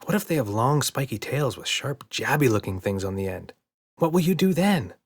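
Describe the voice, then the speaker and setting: A man voicing an excitable, worried little girl in a children's audiobook. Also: medium pitch, normal speed, husky, no echo, studio quality, pitched above the performer's own voice.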